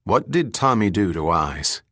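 A man playing a terse, watchful investigator in an audiobook story, asking short, calm questions. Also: low voice, unhurried, no echo, studio quality, heavily acted. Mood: calm, grave.

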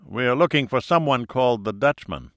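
A man's voice: medium pitch, lively intonation, moderate pace, fairly bright tone, dry recording, studio quality. Man playing a laconic, understated American husband. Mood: deadpan, businesslike. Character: laconic, casual, capable American husband and scientist.

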